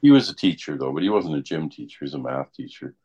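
Older man, deep voice, casual halting chat. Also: animated, dark tone, husky. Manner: halting, often ends phrases with "right?".

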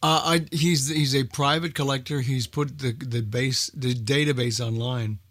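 A man's voice: medium pitch, steady tone, normal speed, fairly bright tone, dry recording. Man chatting casually in a dry, close sound. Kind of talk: casual group conversation.

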